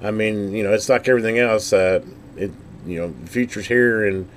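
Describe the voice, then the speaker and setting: Man, low voice, casual unscripted demo, folksy, rambling, clear background noise. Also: fairly quick. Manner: rambling, folksy, thinking aloud. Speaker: scanner hobbyist giving a product overview.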